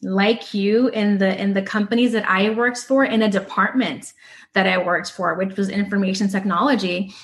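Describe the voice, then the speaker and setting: A woman's voice: mid-range voice, steady tone. Encouraging woman interview host. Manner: encouraging, measured questions. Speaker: podcast host. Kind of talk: interview conversation.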